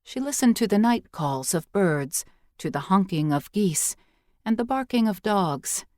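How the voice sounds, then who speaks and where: A woman reading scripted fiction as an audiobook narrator, with measured, expressive storytelling. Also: mid-range voice, no echo, studio quality.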